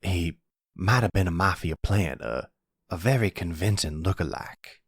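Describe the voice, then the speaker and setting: Guy doing older silver fox mafia grandpa, deep raspy voice, calm n protective, asmr roleplay. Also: measured pace, dry recording, studio quality, heavily acted. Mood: concerned, steady. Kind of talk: ASMR boyfriend roleplay acting.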